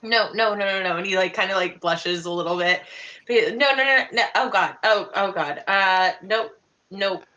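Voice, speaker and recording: A young woman improvising in character in an online tabletop game, halting and rambling, often repeating words. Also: mid-range voice, sharp tone, highs cut off. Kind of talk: improvised in-character roleplay over online call. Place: home room on a video call.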